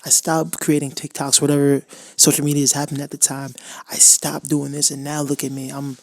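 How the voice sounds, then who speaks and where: A man's voice: a little high, completely flat delivery, normal speed, no echo. Rambling young man hosting a podcast. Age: 20s. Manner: rambling, self-interrupting, acts out his own inner voice. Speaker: podcast host. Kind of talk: casual motivational monologue.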